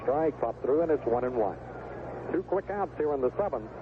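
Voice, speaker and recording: A man's voice: medium pitch, expressive, moderate pace, narrow, tinny audio. A man calling baseball play-by-play live on the radio, heard through a noisy old broadcast recording with stadium ambience behind him. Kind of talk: live radio sports commentary.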